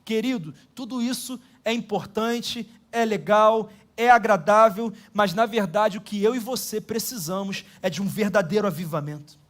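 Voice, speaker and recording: A Brazilian man with a notably high speaking voice preaches an earnest Sunday sermon in church. He speaks in a measured way and uses many rhetorical asides. His Brazilian Portuguese accent is clear throughout. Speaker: pastor.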